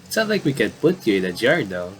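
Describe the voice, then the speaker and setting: Male game commentator, lowish voice, casual and halting, reads text aloud and rambles, slightly noisy home recording. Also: sing-song. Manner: rambling, self-correcting, halting. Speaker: gaming video commentator.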